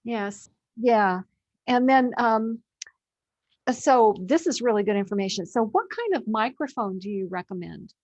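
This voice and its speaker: A woman's voice: on the high side, expressive, a little slow, a little mellow, dull audio. A woman hosting an interview in a conversational style. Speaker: interviewer, host.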